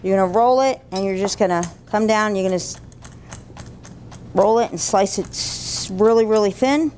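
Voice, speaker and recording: Casting: middle-aged woman, medium-pitched voice, informal cooking instructor, slight background rumble. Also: moderate pace, darkish tone. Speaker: cooking demonstrator, food and beverage director. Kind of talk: casual cooking demonstration. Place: indoor institutional kitchen. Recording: low rumble, faint room noise.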